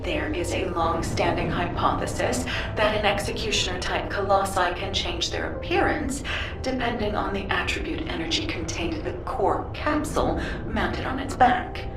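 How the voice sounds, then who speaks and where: An actress playing a composed, scholarly scientist adviser in a video game, explaining in a measured way. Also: female voice, fairly low pitch, close to an everyday voice. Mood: analytical. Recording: roomy, distant, noisy ambience.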